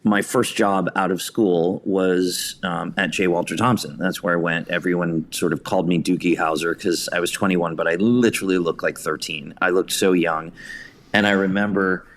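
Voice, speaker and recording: A man's voice: deep voice, steady tone, moderate pace, fairly bright tone. A man being interviewed as a theatre director, telling stories conversationally and acting out bits of dialogue. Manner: anecdotal, hesitant phrasing, acts out quotes.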